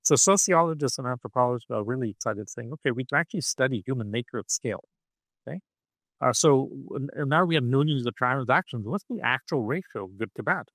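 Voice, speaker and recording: Male podcast guest, middle voice, dry close sound, lively explainer who keeps asking "right?". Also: medium pitch, fast talker, no room sound, low-quality recording. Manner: punctuates points with "okay?" and "right?". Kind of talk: animated conversational explaining.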